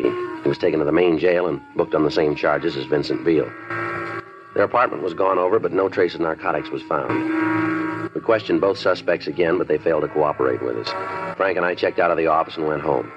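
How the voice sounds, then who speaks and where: An actor playing a calm male police detective who narrates and questions suspects in a clipped, matter-of-fact way, in a 1950s radio crime drama. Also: very deep voice, fairly quick. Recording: dull lo-fi 1950s radio recording with music.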